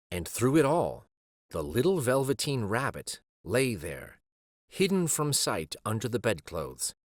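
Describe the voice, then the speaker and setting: A man reading a children's story aloud as a storyteller, with measured, dramatic phrasing. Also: mid-range voice, no room sound. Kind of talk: expressive scripted storybook narration.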